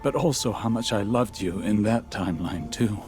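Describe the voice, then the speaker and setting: Game role: anguished devoted lover played by a man, rather low, pleading, clear music underneath. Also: moderate pace, close to an everyday voice. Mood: insistent, tender. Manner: urgent, emotional, pleading. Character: grieving, devoted man pleading with his beloved. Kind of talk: dramatic video game acting. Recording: music behind the voice.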